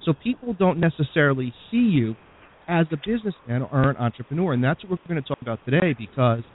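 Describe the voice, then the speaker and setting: Chatty radio host, tinny sound. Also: male voice, fairly high pitch, lively intonation, fast, slight background noise. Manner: chatty, many fillers. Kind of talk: radio interview.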